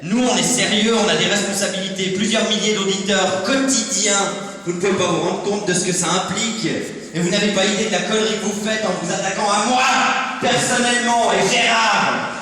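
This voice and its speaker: Radio-play boss male actor: high-pitched, contemptuous, commanding stern father; distant, echoing hall. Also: fairly even intonation, brisk, bright, forceful tone. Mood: threatening, indignant. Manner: threatening, self-important, commanding. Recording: echoey hall, distant mic, faint noise.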